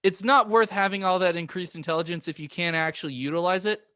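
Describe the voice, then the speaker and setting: A man co-hosting a casual podcast, talking in a halting, self-deprecating way. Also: high-pitched, steady tone, sharp tone, smooth, no room sound, studio quality. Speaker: podcast co-host. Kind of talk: casual panel podcast chat.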